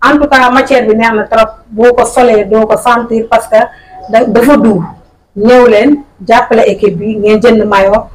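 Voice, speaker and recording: A woman with a Senegalese accent, a club supporter, urging fans to buy the jerseys in a brisk, enthusiastic way. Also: a little high, steady tone, rough recording. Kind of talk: promotional appeal.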